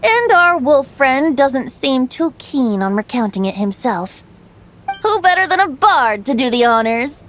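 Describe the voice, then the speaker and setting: A woman's voice: sits high, very animated, measured pace, a bit bright, slight background noise, heavily acted. A woman voicing a playful young male bard who tells tales in a lilting, lyrical way. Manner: lilting, teasing, then lyrical storytelling. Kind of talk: video game voice acting.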